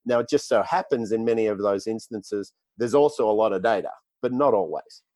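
A man's voice: lowish voice, lively intonation, brisk, a little mellow, dry recording. A man with an Australian accent, answering questions as a tech company executive, often restarting and repeating words. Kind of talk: podcast interview answers.

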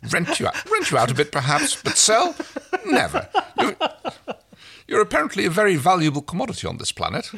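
A man's voice: high-pitched, wildly swooping pitch, fairly quick, creaky, bright, forceful tone, slightly rough, voice pushed up, full character voice. A man voicing a dry, haughty woman traveler who teases her companion in a wry manner. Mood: wry, teasing.

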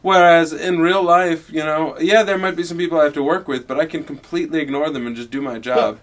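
A man with a somewhat high-pitched voice, stoned and drunk, chatting casually as a podcast co-host. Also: fairly even intonation, brisk, a bit bright.

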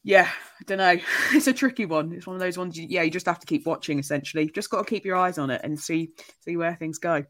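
A woman's voice: on the low side, quick pace. A woman talking as a guest on a football podcast, hedging her views with many restarts and self-corrections. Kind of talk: casual football podcast chat.

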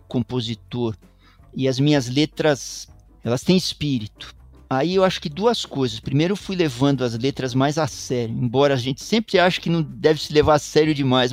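Reflective Paulistano man, unhurried musing. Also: mid-range voice. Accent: Paulistano Brazilian Portuguese. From São Paulo, Brazil. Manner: thoughtful, meandering, many restarts and tag questions. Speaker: guest musician. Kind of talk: reflective interview talk.

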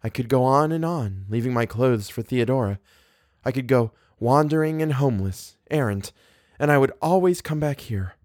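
A man's voice: mid-range voice, expressive, normal speed, darkish tone, no room sound, clean studio sound, close to an everyday voice. A man voicing a fragile, giddy young woman in an audiobook, pleading with plaintive, childlike insistence. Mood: wistful, pleading. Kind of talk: audiobook character voice.

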